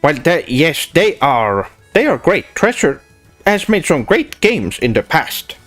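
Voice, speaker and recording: Young Swedish man, lively slightly high voice, pompous anime-fan streamer persona, faint music behind. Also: measured pace. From Sweden. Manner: pompous weeaboo persona, sprinkles Japanese words; hesitant restarts with many "uh"s. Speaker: streamer answering chat. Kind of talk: casual livestream chat, reading questions aloud.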